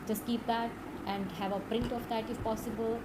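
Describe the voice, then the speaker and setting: A woman with a Pakistani accent and Urdu as her first language, teaching an online course in an earnest, unhurried way with many filled pauses. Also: on the high side, level tone. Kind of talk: direct-to-camera teaching talk. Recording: audible background noise.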